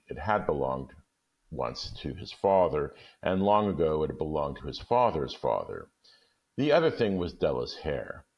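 A man with an extremely low voice, speaking as a guest story reader. The recording is muffled and lo-fi, lacking crispness. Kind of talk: casual chat, then reading a short story aloud. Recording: dull, muffled lo-fi audio.

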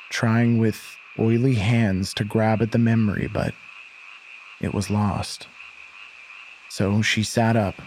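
A man's voice: mid-range voice, slight background noise, low-quality recording. A man hosting a horror podcast, narrating a scripted tale in a theatrical, ominously polite manner. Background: music.

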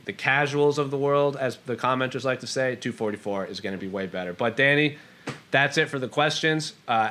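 A man's voice: mid-range voice, a bit bright. A man co-hosting a mixed martial arts show, casually fielding fan questions and chatting about the sport. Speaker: co-host of an MMA Q&A show.

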